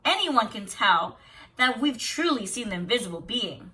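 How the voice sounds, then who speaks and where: A woman's voice: on the high side, very animated, moderate pace, sharp tone. A woman voicing proud, cruel, boastful young sisters in a storybook read-aloud. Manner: boastful, stammering and whispering when cornered.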